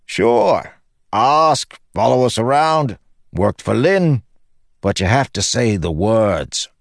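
A man's voice: medium pitch, very animated, takes it slowly, gravelly, dry recording, clean studio sound, pitched above the performer's own voice, heavily acted. A man voicing a cocky, chatty young male soldier in an audiobook, flippant and theatrical. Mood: breezy, boastful. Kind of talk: audiobook character acting.

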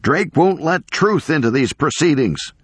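An indignant old professor, acted out by a male narrator, declaims with pompous emphasis. His pitch leaps up and down as he rants like a storybook crank. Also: big pitch swings, normal speed, husky, no room sound. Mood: ranting, furious. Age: older. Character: ranting, unkempt, indignant elderly male professor.

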